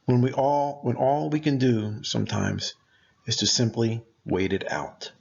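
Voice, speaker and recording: Male meditation narrator, mid-pitched and creaky, gentle deliberate delivery, slightly dull recorded sound. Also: fairly even intonation. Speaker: meditation guide.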